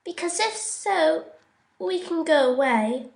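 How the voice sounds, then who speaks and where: Woman doing a slow, proud little boy. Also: very high-pitched, lively intonation, vocal fry, roomy sound, voice pushed up, full character voice. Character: proud small boy, a twin.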